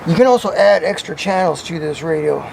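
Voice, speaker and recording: A man's voice: fairly high pitch, lively intonation, a little fast, gravelly, background noise. A man giving a casual hands-on vlog demonstration, rambling and thinking aloud, in and around a parked truck. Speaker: vlogger demonstrating CB radio antennas.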